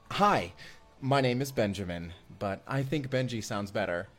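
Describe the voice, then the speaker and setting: A man's voice: fairly low pitch, lively intonation, a little slow, soft background music. A man acting as a devoted, sweetly awkward young romantic in an immersive audio roleplay, speaking in an earnest, hesitant, conversational way. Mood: flustered, friendly.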